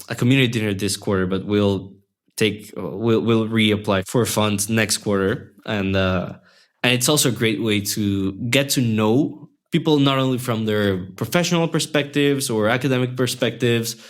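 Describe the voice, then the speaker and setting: A man's voice: lowish voice, moderate pace. A young man whose first language is Spanish, a podcast interview guest speaking in a friendly, reflective way, often restarting his sentences. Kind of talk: casual interview conversation.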